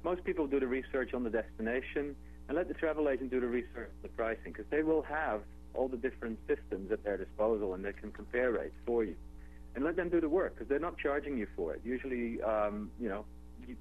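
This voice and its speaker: A man with a Dutch accent talks easily over a tinny telephone line, with a faint hum beneath his voice. His pitch sits at an ordinary middle level, and his speech wanders with fillers and restarts as he gives advice as a radio guest. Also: fast talker. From Netherlands. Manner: relaxed, conversational, with filler words and restarts. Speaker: guest, travel company executive. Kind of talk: phone interview on radio.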